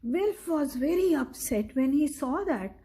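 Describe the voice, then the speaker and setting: A woman reading a children's story aloud in a deliberate, instructive manner, talking directly to the listener. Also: sits high, lively intonation, dark tone.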